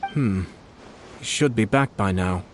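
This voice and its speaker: An actor playing a shrewd, composed young nobleman and clan head in a video game, speaking in a measured, formal, polite way. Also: on the low side, very noisy. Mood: calculating, composed.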